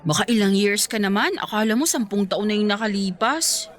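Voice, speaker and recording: A woman's voice: mid-range voice, fairly quick, close to an everyday voice. A woman acting a playful but guarded young woman who teases her admirer, in a radio romance drama. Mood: teasing. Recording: background music and crowd chatter.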